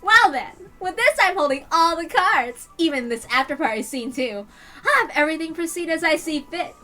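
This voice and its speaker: A woman's voice: very high voice, lively intonation, moderate pace, sharp tone, full character voice. A woman voicing a scheming, gloating young twin sister from an anime visual novel, taunting with theatrical mock politeness. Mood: menacing. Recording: music behind the voice.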